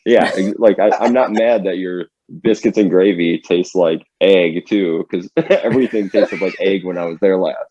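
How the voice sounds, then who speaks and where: A man's voice: low-pitched, normal speed, dark tone, breathy edge, dry recording, dull audio. A man in his thirties, a podcast guest chatting casually, rambling with many restarts and laughing often. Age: 30s. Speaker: podcast guest, band member. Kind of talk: casual chat.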